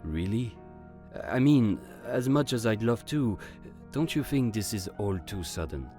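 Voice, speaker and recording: A man playing a silver-tongued, gentlemanly con artist of living fire who sprinkles in French endearments, in a romantic audio drama. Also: lowish voice, very animated, a little slow, soft background music. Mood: flustered.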